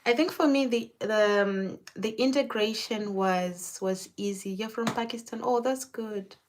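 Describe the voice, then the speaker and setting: A chatty woman from Zimbabwe, talking with a client as she works on her hair. Her pitch sits in an ordinary middle range for a woman. She loops back and repeats words as she restarts her sentences, in an easy, conversational way. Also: measured pace. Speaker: hairstylist. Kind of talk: casual chat while doing hair.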